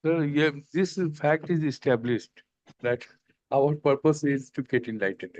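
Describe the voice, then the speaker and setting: A man's voice: on the high side, a little slow, darkish tone. A man asking a spiritual teacher a question, deferential and often adding sir. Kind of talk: online spiritual Q&A. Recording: dry, muffled video-call audio.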